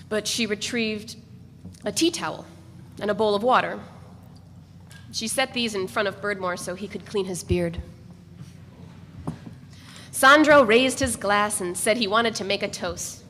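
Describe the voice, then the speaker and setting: Female literary reader, mid-pitched, narrating fiction in a reverberant hall with faint noise. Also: a bit bright. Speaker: reader of a novel. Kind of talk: dramatic literary reading, first-person narration.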